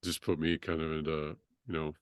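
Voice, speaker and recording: A middle-aged man, a surgeon guest, telling his story in a halting, conversational way with many restarts. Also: little pitch movement, clean tone, no room sound, studio quality. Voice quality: deep voice.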